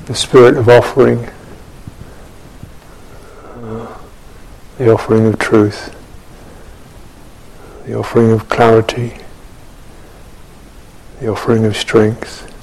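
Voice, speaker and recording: A man leads a guided meditation in a husky voice. His pitch stays flat and his pace is very slow. He is measured and contemplative, setting out short phrases with long silences between them. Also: poor audio. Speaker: Buddhist monk teaching meditation. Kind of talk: guided meditation and dharma talk.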